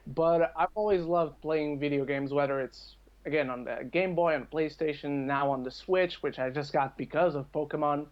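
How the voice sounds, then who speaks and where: Casting: male podcast talker, fairly high voice, rambling and self-correcting, casual delivery, slightly muffled lo-fi recording. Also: moderate pace, few pauses. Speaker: podcast speaker. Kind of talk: casual podcast chat about games and films.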